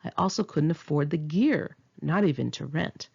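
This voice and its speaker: A woman's voice: low-pitched, lively intonation, moderate pace, creaky, darkish tone, breathy edge, highs cut off. A woman reading her own memoir aloud in a candid, informal, wry conversational style. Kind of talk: conversational memoir narration, read in one take.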